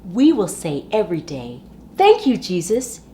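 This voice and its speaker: A woman reads a children's storybook aloud in an expressive, emphatic way, with dramatic phrasing. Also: lowish voice, measured pace, a little hiss or hum. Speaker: storybook reader.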